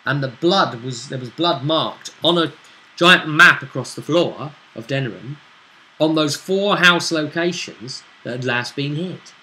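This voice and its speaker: Bright-voiced man recapping a tabletop game. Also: fairly high pitch, measured pace, low-quality recording. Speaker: game master and host of the vidcast. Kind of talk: casual recap of a tabletop role-play session. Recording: faint background noise.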